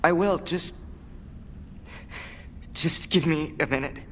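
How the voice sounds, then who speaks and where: High-pitched, pleading young assassin. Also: male voice, slow pace, a bit bright, slight background noise. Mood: grieving, pleading. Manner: pleading, urgent. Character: young grieving crow assassin, desperate, pleading for his slain cousin. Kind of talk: dramatic video game acting.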